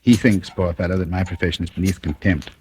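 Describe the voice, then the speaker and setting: A noisy vintage radio thriller recording carries a low, suave voice of a male actor. He is a cunning gentleman who speaks in measured, ironically polite phrases, mocking and coolly menacing throughout. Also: fairly even intonation, lightly acted. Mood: sardonic, composed. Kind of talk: 1940s radio thriller acting.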